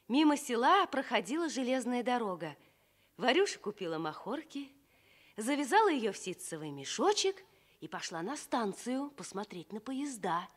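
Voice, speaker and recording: A female storyteller reads a Soviet radio fairy tale. Her high, slightly husky voice swings expressively through slow, deliberate phrases.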